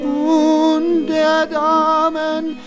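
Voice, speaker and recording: A woman playing a mournful bard of a ruined kingdom, singing a drawn-out, plaintive lament in a radio drama. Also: sits high, completely flat delivery, loud music behind the voice. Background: loud musical accompaniment.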